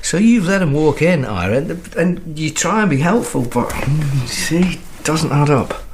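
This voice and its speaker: A man acting a drunk, anxious husband in a radio thriller, slurred and gravelly, rambling through halting questions and suspicions. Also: on the high side, big pitch swings, noisy background. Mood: confused, agitated. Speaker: actor in an audio drama.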